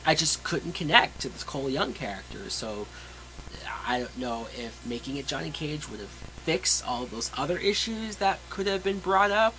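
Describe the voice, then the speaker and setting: A man's voice: fairly high pitch, animated, moderate pace, pushed and bright, a little hiss or hum, rough recording. A man reviewing a movie in a casual, opinionated way, with emphatic, blunt and sweary delivery. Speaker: film reviewer.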